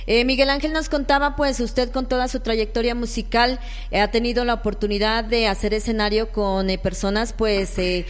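A woman with a Colombian accent hosting a live local radio interview, halting at times as she searches for words. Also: on the high side, fairly even intonation. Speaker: radio host interviewing musicians. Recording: echoey hall-like reverb.

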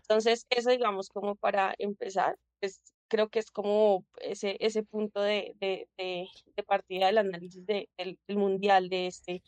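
Woman thinking out loud in an interview, lots of restarts, dry close sound. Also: medium pitch, little pitch movement, measured pace, few pauses, no echo. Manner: reflective, many restarts and "¿cierto?" tags. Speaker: guest, public administrator and social activist. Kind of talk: interview answer, analytical.

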